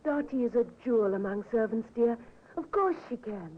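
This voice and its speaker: A woman's voice: a little high, lively intonation, normal speed. An actress playing an emotional, devoted-seeming young wife in her twenties in a 1950s radio drama, earnest and theatrical. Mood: matter-of-fact. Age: 20s. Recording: tinny, hissy old radio recording.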